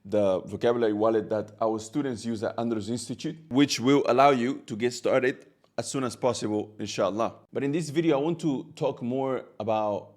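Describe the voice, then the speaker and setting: A man's voice: medium pitch, brisk, darkish tone. A man whose first language is Catalan, an Arabic teacher giving an earnest, direct talk to camera, now and then repeating a word as he goes.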